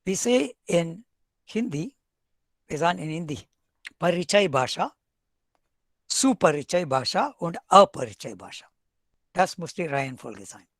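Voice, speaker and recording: A man's voice: a little high, very animated, a little slow, long pauses, no room sound. A man from South India with an Indian accent and Tamil as his first language, lecturing in a webinar with dry, wry asides. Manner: wry asides, switches between German and English. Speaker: professor speaking at a webinar. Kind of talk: academic talk.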